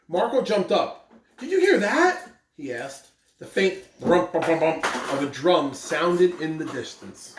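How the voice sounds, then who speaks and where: An American man with an upbeat, deliberate, emphatic delivery, giving school announcements and reading aloud to children as a principal. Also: a little high. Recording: roomy, distant mic with background noise.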